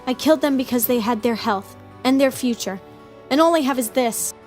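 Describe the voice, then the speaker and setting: A woman's voice: sits high, brisk, faint music behind, natural voice. An actress playing an anguished, remorseful woman turned killer in a motion comic, with a confessional, pleading delivery. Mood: bitter, confessing. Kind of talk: dramatic motion comic acting.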